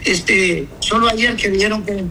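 A pastor with a high, strikingly bright voice, speaking in an interview. He talks emphatically and keeps checking that he's understood. A faint hiss of noise sits under the recording. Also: steady tone, measured pace, low-quality recording. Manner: emphatic, repeats "me entiende". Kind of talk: interview answers.

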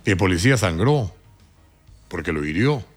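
A man hosting a morning radio talk show, commenting with indignant emphasis and sudden rises in pitch. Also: animated, moderate pace, faint music behind.

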